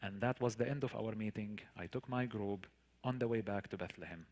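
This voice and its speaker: A man's voice: lowish voice, fairly quick, darkish tone. A man with a Palestinian Arabic accent, a native Arabic speaker, tells a personal story to listeners as a guest speaker, in an earnest, emphatic manner with rhetorical questions and repetition. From Palestinian territories. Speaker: guest speaker, tour guide. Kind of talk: personal storytelling in a public talk.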